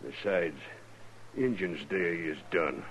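An actor playing a brutal, boastful older buffalo hunter in a 1950s American radio western, with a rough frontier drawl. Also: male voice, low voice, slow pace, a bit bright, lo-fi sound. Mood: boastful menace. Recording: faintly noisy old radio recording.

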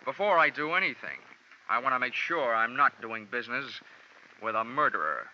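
A man's voice: medium pitch, lively intonation, moderate pace, slight background noise, close to an everyday voice. An American man playing a cynical, wisecracking insurance investigator in hardboiled 1940s radio style, with clipped, sardonic delivery. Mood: tough, controlled. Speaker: lead actor and narrator of a detective radio series. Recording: muffled vintage radio recording, music.

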